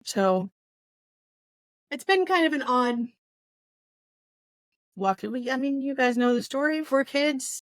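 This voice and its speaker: A woman's voice: high voice, slow pace, long pauses, no room sound. A woman co-hosting a casual book discussion, making her points emphatically and repeating them for stress. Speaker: podcast co-host.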